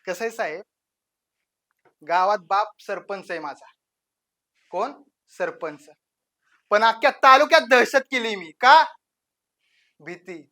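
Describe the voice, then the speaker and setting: A woman playing a brazen, menacing village gangster in a dramatic monologue, with a rural western Maharashtra accent and a taunting, swaggering manner that swings between hushed and loud. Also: medium pitch, lively intonation, slow pace, pauses often. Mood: boastful menace. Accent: rural western Maharashtra Marathi.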